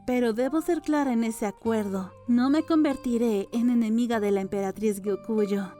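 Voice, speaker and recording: A woman's voice: on the high side, normal speed, dark tone, lightly acted. A woman voicing a serious, matter-of-fact young apothecary woman in an audiobook, earnest, blunt and deferential. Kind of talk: audiobook character dialogue. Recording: music under the voice.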